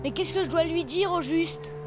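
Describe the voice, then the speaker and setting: A woman's voice: very high-pitched, moderate pace, background noise. A woman voicing a timid, eager little boy elephant in a French children's cartoon. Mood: hesitant, timid. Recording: music behind the voice.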